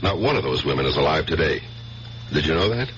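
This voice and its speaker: An actor playing a wry, suspicious American police detective and protective older brother in a 1970s radio drama, with a dry, teasing delivery. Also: male voice, extremely low pitch, animated, quick pace, a bit bright. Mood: suspicious, probing. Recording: dull, faintly noisy old radio broadcast.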